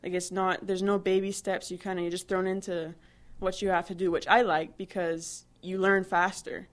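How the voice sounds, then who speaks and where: Young woman from barbados, lower voice, chatty w lots of "like"s. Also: little pitch movement, moderate pace. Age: 20s. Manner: chatty, many "like" fillers and restarts. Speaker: interviewee, new employee. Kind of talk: casual interview answers.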